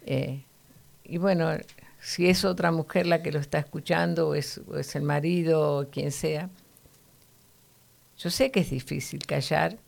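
Low, gravelly-voiced woman teaching the Bible. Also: darkish tone. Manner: earnest, teacherly, frequent "¿no?" tags.

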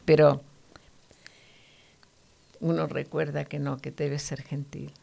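Low, gravelly-voiced woman teaching the Bible. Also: little pitch movement, slow pace, a little mellow. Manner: earnest, teacherly, frequent "¿no?" tags.

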